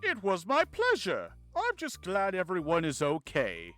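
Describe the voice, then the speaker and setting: Man playing a polite, very animated lore-keeper. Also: sits high, measured pace, soft background music, exaggerated voice. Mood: solemn. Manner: formal, expository. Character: polite, knowledgeable man explaining ancient lore. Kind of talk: amateur visual novel voice acting.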